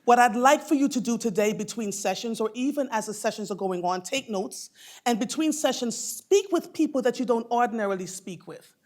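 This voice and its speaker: Boomy-voiced woman in her fifties emceeing. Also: lively intonation, fairly quick. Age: 50s. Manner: effusive, calls people darling. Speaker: mistress of ceremonies. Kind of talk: conference emcee address.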